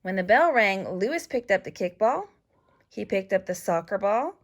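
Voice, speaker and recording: A woman reading a children's story aloud as scripted narration, with expressive, deliberate phrasing for young listeners. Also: mid-range voice. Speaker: storybook reader.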